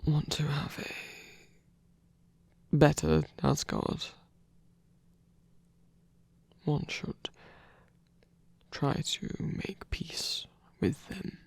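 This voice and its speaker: Casting: male actor as insecure, tender trickster god for ASMR audio roleplay; very slow, hesitant, breathy murmur with creaky edges, close-miked. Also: a little high, little pitch movement, exaggerated voice. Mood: reflective, uneasy. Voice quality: breathy, whispery. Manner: hesitant, halting, stammering. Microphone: close microphone, dry.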